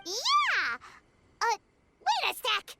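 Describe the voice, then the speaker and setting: Squeaky, excitable little fairy companion. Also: female voice, very noisy, full character voice. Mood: excited. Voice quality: squeaky, childlike. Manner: exclaiming, folksy, quick to protest. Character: small excitable childlike fairy companion, chirpy and earnest. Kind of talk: English video game voice acting. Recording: music behind the voice.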